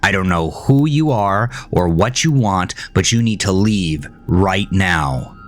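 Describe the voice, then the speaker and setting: A man's voice: deep voice, expressive, slow pace, faint music behind. A man playing a frightened, defiant grieving farmer in a horror audio drama, stammering and growling at an intruder. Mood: defiant, fearful.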